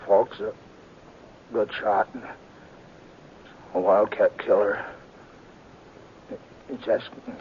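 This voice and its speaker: Wounded aging sheriff, weak and halting, low gravelly tone, dull vintage radio sound. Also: male voice, fairly even intonation. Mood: pleading. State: injured, weak. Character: wounded older male frontier sheriff, earnest. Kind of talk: 1950s radio drama acting. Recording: dull old radio recording with faint hiss.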